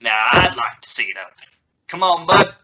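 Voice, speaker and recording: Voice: mid-range voice, big pitch swings, normal speed, highs cut off, lightly acted. A woman playing a flustered, impatient middle-aged father in an old-time radio family comedy, stammering in exasperation. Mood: forced calm. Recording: noisy recording with clicks.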